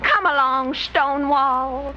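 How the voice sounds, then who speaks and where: A woman's voice: high voice, slow. A woman playing a naive, prim young Southern belle college girl in a 1950s radio comedy. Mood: prim, curious. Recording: muffled old radio recording, faint noise.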